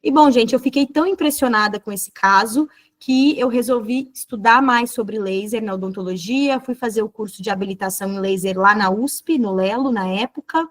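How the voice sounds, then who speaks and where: A woman's voice: a little high, normal speed, hardly any pauses. A woman with a Brazilian Portuguese accent giving an online lecture as a dentist, explaining fluently and often checking in with tag questions.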